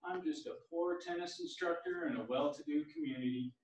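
Echoey, high-pitched witty tennis instructor played by a man. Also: sing-song, fairly quick. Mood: wry, friendly. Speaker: actor in a staged reading. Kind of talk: staged play reading. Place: small theatre stage, indoors. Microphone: far camera. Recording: very echoey theatre, distant microphone.

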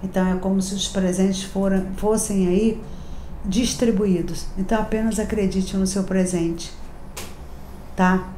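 A woman's voice: mid-range voice, fairly even intonation, a little slow, warm tone. Chatty Brazilian woman in echoey room. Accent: Rio de Janeiro Portuguese. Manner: chatty, affectionate, confiding, emphatic repetitions. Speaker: tarot reader and channel host. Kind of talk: daily tarot reading to camera. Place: decorated home room, indoors. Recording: echoey room, faint background hiss.

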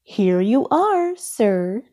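An eager shop salesman from a children's storybook played by a woman, formal and courteous. The pitch moves around in a lively way, with a mellow tone and persuasive patter. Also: very slow, full character voice. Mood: pleased.

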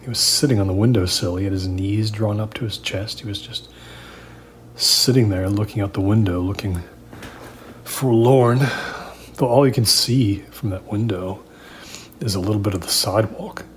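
Low-voiced man narrating horror, noisy recording. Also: normal speed. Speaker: narrator of a horror audio drama. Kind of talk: first-person diary-style horror narration, performed conversationally. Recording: clear background noise.

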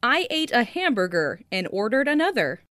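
A woman reading short example sentences with careful, deliberate enunciation for a listening lesson, in a dry studio voice-over. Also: fairly high pitch, very animated, a bit bright, dry recording. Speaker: listening practice speaker. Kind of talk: slow read example sentences.

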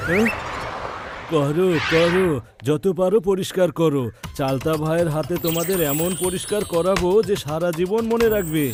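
A man's voice: on the high side, moderate pace, music in the background, close to an everyday voice. A man voicing a grumbling, money-minded labourer in a cartoon dub, curt and complaining. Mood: spiteful.

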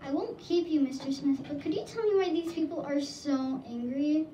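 A woman's voice: high-pitched, moderate pace, warm tone, close to an everyday voice. A woman playing an eager on-scene reporter. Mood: inquisitive. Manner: inquisitive, presenting. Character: eager female news reporter. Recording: echoey room from far off.

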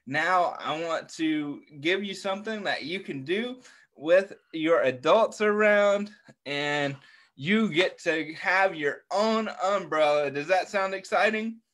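Slow, encouraging man hosting children's story time. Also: high-pitched, fairly bright tone. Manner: encouraging, simple and repetitive. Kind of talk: talking to young children. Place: small furnished room at home, indoors. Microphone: computer mic on a video call.

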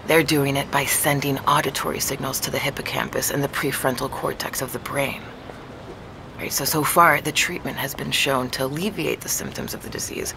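A low voiced lady neurologist, matter of fact explainer, audio drama, noisy outdoors behind her. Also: vocal fry. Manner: explanatory, measured. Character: measured, matter-of-fact female neurologist, professional. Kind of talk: naturalistic audio drama dialogue.

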